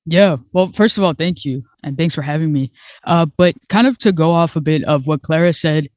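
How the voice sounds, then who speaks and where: A Colombian-born, New York-raised music producer answering a panel question in a relaxed, conversational way. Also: male voice, on the high side, normal speed, no room sound, clean studio sound.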